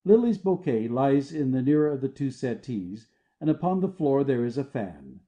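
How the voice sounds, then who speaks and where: A very dark, soft-toned narrator reads stage directions as scripted narration. The delivery moves with lively pitch, and the recording is slightly muffled. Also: moderate pace.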